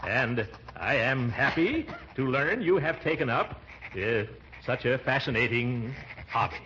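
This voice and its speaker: An actor playing a pompous, bumbling middle-aged uncle in 1940s radio comedy, hemming and theatrical. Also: male voice, mid-range voice, animated, pushed and bright. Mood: halting, dictating. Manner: hemming, halting, theatrical delivery. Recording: dull, noisy 1940s radio recording.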